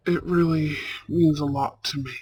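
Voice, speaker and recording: A man playing a warm, protective older brother from a mafia family, speaking tenderly and earnestly in a romantic audio roleplay. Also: on the high side, steady tone, husky. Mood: grateful, sincere. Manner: tender, earnest, halting delivery with sprinkled Italian endearments.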